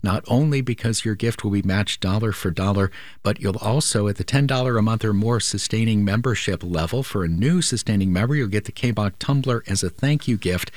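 A man's voice: fairly low pitch, brisk, few pauses, a little mellow, no room sound. A male radio host making an earnest, persuasive appeal during a pledge drive.

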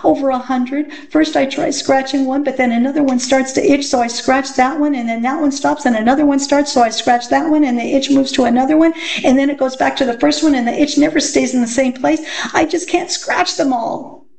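A woman's voice: sits high, fairly even intonation, brisk, creaky, a little mellow, a little hiss or hum, pitched above the performer's own voice. A woman voicing a whiny, complaining young schoolgirl in a children's storybook reading. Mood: itchy, exasperated. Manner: whining, complaining.

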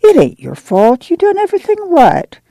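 A woman voicing a gentle, protective country mother in a storybook reading, with a folksy, reassuring manner. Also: very high-pitched, wildly swooping pitch, moderate pace, no echo, pitched above the performer's own voice, full character voice. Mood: reassuring, timid.

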